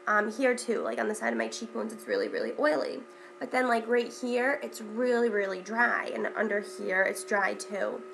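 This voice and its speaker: A woman's voice: mid-range voice, expressive, normal speed, vocal fry, fairly bright tone, slight background noise. A young woman with a stuffy, congested voice, chatting casually as a beauty vlogger, with lots of ums and repeated words. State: congested from a cold. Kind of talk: casual makeup tutorial chat.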